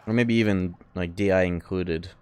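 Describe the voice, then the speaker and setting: A man's voice: sits low, steady tone, normal speed, smooth. A man livestreaming a video game, chatting casually with viewers in a rambling, sweary, informal way. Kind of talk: casual gameplay commentary and chat with viewers. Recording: loud game music behind voice. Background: loud video game music.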